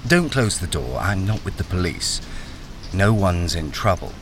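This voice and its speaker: A man's voice: low-pitched, sing-song, a little slow, a bit bright, a little hiss or hum, exaggerated voice. An actor playing a weary, wary ex-soldier and miner who tells his own story in a radio crime drama. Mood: insistent, concerned. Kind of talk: radio crime drama, first-person narration and dialogue.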